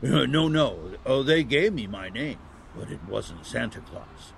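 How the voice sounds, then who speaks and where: An actor playing a kindly, bumbling old Santa Claus in a family audio drama, gentle and a little stammering. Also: male voice, mid-range voice, expressive, a little slow, creaky, slight background noise. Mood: warmly reminiscing.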